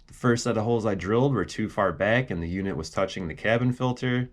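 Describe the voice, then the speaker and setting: Low-pitched man explaining a project. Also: fast talker, slight background noise. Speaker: DIY car vlog host. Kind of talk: casual project walkthrough with voice-over.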